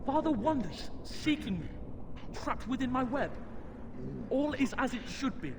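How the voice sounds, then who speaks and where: A man's voice: extremely high pitch, very animated, measured pace, background noise, full character voice. A man playing a possessed young boy in a fantasy video game, imperious and threatening in a formal way. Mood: calm menace. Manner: formal, menacing.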